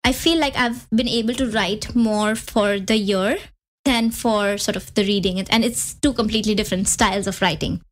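A woman's voice: medium pitch, steady tone, normal speed. A woman in her thirties with an Indian accent, a podcast guest chatting casually, full of "like" and "you know" as she restarts her thoughts. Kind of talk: casual interview chat.

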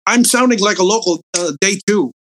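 Comedian guest, from the Philippines, rambling, restarts a lot, laughs at own jokes, middle pitch. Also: a little fast, fairly bright tone. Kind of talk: casual interview chat.

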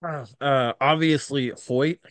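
A man's voice: medium pitch, steady tone, slow, smooth, dry recording. Laid-back man in his late twenties. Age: 20s. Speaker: podcast guest. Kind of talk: casual podcast chat.

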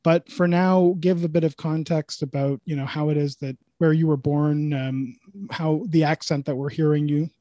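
Halting podcast host, slightly high voice. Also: male voice, fairly even intonation, warm tone, dry recording, highs cut off. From Canada. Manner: halting, restarts sentences. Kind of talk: casual interview talk.

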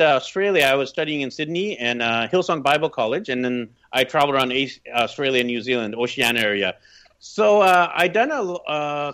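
A man's voice: fairly high pitch, a bit bright. A Canadian man in his thirties telling personal stories as a podcast guest, chatty and emphatic in conversation. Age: 30s. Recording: slightly dull, lo-fi audio.

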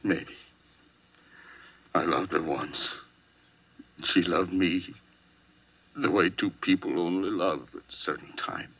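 A man's voice: sits low, takes it slowly, long pauses, breathy edge, exaggerated voice. An actor playing a hot-tempered, desperate jealous husband hunted as a murder suspect in a 1950s radio drama, volatile in his delivery. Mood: subdued, bitter. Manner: volatile, swinging from menacing outbursts to broken murmurs. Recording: dull, band-limited old 1950s radio recording.

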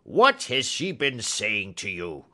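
A man's voice: mid-range voice, unhurried, bright, forceful tone, pitched above the performer's own voice. Man acting snarling, furious old villain of a doctor. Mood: furious, menacing. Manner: snarling, blustering, threatening.

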